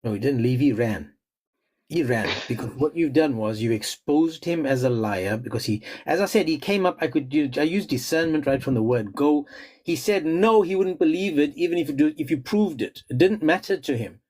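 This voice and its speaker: A man's voice: a little high, animated, moderate pace, a little mellow. A man co-hosting a call-in debate who speaks bluntly and scolds the caller.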